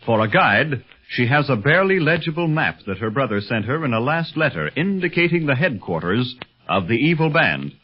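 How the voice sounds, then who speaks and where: A man's voice: medium pitch, big pitch swings, moderate pace, slightly rough. A man narrating a 1930s radio adventure serial in a scripted announcer style. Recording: dull old radio recording.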